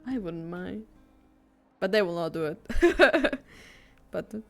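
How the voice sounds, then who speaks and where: A woman chats casually on a game stream in a medium-pitched voice. Her pace is slow and loose, with faint game music playing behind her. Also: animated, gravelly. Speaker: livestreamer reacting to a video game.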